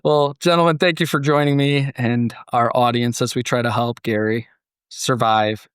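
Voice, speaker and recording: A man's voice: medium pitch, steady tone, normal speed, clear, resonant tone, no room sound, clean studio sound. A man hosting a podcast, moving between conversation and scripted story narration. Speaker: podcast host.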